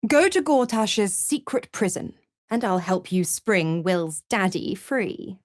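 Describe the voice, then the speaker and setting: A woman's voice: medium pitch, lively intonation, slow, dry recording, clean studio sound. An actress playing a seductive, scheming female devil in a video game, mocking and purring with condescending endearments. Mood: smug, taunting. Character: seductive, scheming, gloating female devil who makes pacts. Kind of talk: theatrical game character dialogue.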